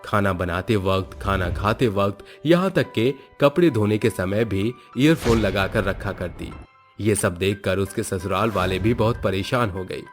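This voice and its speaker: A low-voiced man delivers scripted storytelling narration. Soft music plays behind his voice throughout. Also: unhurried. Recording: background music under the voice.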